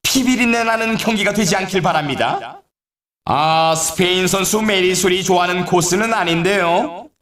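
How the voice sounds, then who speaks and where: A man voicing a lively, upbeat snowboard race announcer in a video game, giving showy, hyped sportscaster commentary. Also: high voice, moderate pace, reverberant, crowd noise, lightly acted. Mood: hyped, dramatic. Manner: animated, showy sportscaster delivery. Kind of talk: excited scripted race commentary.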